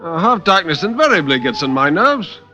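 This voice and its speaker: A man's voice: on the high side, big pitch swings, normal speed, sharp tone, soft background music, voice pushed up. An actor voicing a nervous, vain, guilty man in his late thirties with a British accent, stammering and over-polite, in a radio horror play. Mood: nervous, flustered. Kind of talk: 1950s radio horror acting. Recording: dull, muffled vintage radio recording.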